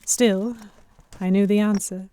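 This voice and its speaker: A woman playing a restless, observant young heroine, narrating a pirate story in the first person in a measured, literary manner. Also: medium pitch, steady tone, warm tone, close to an everyday voice. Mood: reflective. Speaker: narrator and lead actor.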